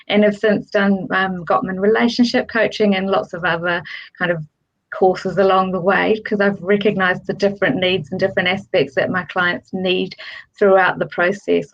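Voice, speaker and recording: A middle-aged woman, a relationship coach, talking casually on a panel over a video call. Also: mid-range voice. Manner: relaxed, many fillers and restarts. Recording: dull lo-fi video call audio.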